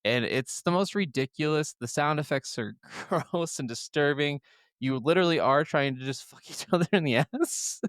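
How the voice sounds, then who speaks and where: A man's voice: a little high, normal speed, fairly bright tone, smooth, dry recording, studio quality. Crude, jokey man in casual chat. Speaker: podcast co-host.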